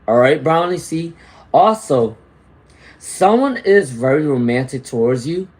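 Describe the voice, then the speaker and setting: A man's voice: on the high side, expressive, measured pace, a little mellow, rough recording. A man giving live tarot readings, reading out viewer comments and often checking in with "all right?" and "okay?". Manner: reads out viewer comments and greets chatters. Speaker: tarot reader.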